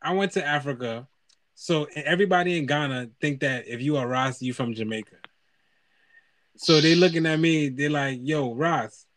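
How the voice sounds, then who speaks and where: A man co-hosting a casual chat show, telling lively anecdotes and acting out the other people's lines. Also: on the high side, moderate pace. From Haiti (parents), raised in the US. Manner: animated storyteller, acts out voices in anecdotes. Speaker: podcast co-host.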